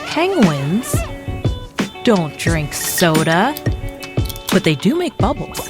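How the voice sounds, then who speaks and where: A woman giving a scripted narration in a bright, playful read. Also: a little high. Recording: narration over loud music.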